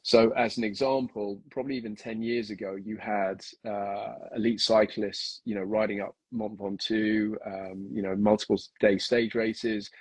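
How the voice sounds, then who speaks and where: A man's voice: fairly low pitch, flat delivery, moderate pace, hardly any pauses, breathy edge, no echo. A man from Kenya with a mixed British and Australian accent, explaining things in a casual interview with many ums and restarts. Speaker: guest endurance coach.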